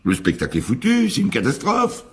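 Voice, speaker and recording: A man's voice: a little high, wildly swooping pitch, a little fast. An actor playing an anxious, pleading theatre boss in a French radio drama, stammering and repeating himself as he reproaches himself. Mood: despairing. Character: Georges, anxious, pleading theatre show boss, easily overwrought.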